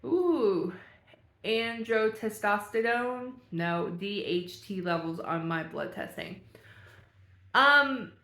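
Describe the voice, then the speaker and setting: A young woman talks casually to her viewers, thinking aloud and laughing at herself as she works through a quiz. Her voice sits at an ordinary middle pitch. The room around her gives a noticeable echo. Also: lively intonation, slow, bright, forceful tone. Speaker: vlogger. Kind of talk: casual talk while reading a quiz aloud.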